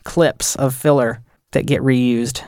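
A man's voice: mid-range voice, steady tone, slow pace, darkish tone, dry recording, studio quality. A man talking casually and explaining things as a podcast audio editor.

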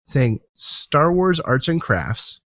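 A man's voice: on the high side, big pitch swings, takes it slowly, a bit bright, no room sound, studio quality. An American man hosting a podcast in casual, conversational talk. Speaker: podcast host.

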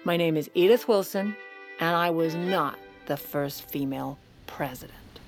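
An actress playing a sardonic, self-assured First Lady in her forties, narrating an audio drama with deadpan, haughty wit. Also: deep voice, measured pace, music in the background. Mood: wry, confiding. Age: 40s.

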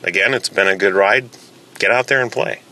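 A man's voice: on the low side, fairly quick, sharp tone, low-quality recording. A man giving an informal spoken update as a game server admin on a podcast.

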